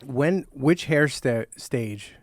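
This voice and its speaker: A man hosting a podcast, firing off casual, quick questions in a relaxed chat. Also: medium pitch, dry recording, studio quality. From Mexico. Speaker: podcast host.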